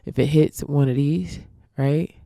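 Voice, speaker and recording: A woman's voice: low-pitched, fairly even intonation, measured pace, warm tone, no echo, studio quality. Congested woman walking through charts. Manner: thinks aloud with fillers and restarts. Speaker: forex trading educator. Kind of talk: casual chart walkthrough.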